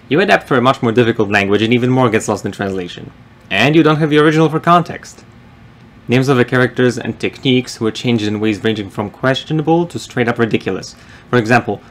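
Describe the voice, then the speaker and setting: A man's voice: fairly low pitch, slight background noise. Wry young man. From Poland. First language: Polish. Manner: dry, wry, explanatory. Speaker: video essayist. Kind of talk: scripted narration.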